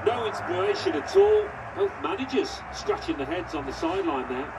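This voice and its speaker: Unimpressed footy pundit, gravelly creak, loud noise behind the commentary. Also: male voice, high-pitched, wildly swooping pitch, moderate pace, full character voice. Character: unimpressed football pundit summing up dull match. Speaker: football pundit. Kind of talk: television match commentary.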